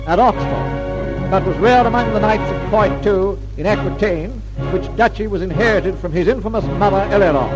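A man's voice: high voice, rough recording. A man reading dramatic scripted narration in a grand, declamatory old-time radio style. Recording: vintage radio recording under music.

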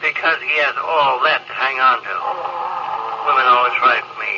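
Resigned male spaceman, brooding, quietly cynical, mid-pitched, 1950s radio drama, muffled and hissy. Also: normal speed, gravelly. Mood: wistful. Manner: brooding, blunt. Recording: muffled, noisy vintage radio recording.

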